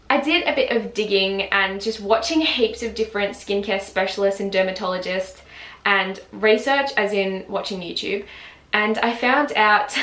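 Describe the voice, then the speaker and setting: Female, 20s, relaxed filler-heavy home vlog delivery, mid pitch, roomy sound. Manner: chatty, lots of "like" fillers, laughs often. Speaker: beauty vlogger. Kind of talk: casual chat to camera. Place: home, just woken up. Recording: roomy echo.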